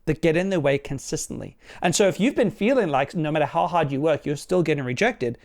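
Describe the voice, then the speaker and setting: Earnest fast-pitching Australian man. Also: lively intonation, a little mellow. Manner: earnest, salesy, keeps asking "right?". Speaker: dating coach. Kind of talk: direct-to-camera promo talk.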